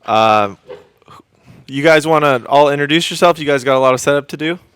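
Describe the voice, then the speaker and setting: A man's voice, fairly relaxed in tone, hosting live radio theater from a studio. He chats casually and off the cuff, with a playful, appreciative manner. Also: on the high side, fairly quick, smooth, no echo. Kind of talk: casual chat.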